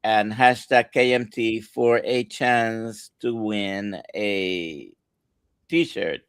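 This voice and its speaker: A man's voice: on the low side, steady tone, a bit bright, clear, resonant tone, no echo, studio quality. A doctor from Central Florida hosts a morning livestream in an easygoing way, greeting viewers and inviting his guest to speak. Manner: easygoing, unhurried host.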